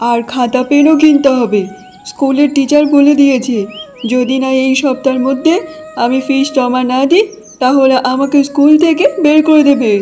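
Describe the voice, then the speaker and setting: A woman's voice: high voice, warm tone, natural voice. A woman voicing an impatient ten-year-old boy in a cartoon story, complaining and insistent. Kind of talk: Bengali cartoon story voice acting.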